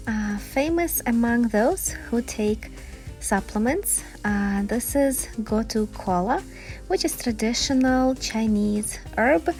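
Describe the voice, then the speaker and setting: A woman's voice: medium pitch, a little mellow. A woman with a Ukrainian accent, a native Ukrainian speaker, hosting an informal, explanatory gardening vlog with enthusiasm. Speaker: gardening video host.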